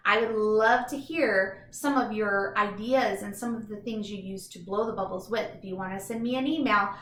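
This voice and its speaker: Bright-voiced woman reading to children, roomy sound. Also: medium pitch, moderate pace, slight background noise. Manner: enthusiastic, instructive, addressing young children directly. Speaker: teacher reading a children's book aloud. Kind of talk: children's read-aloud with chatty explanatory asides.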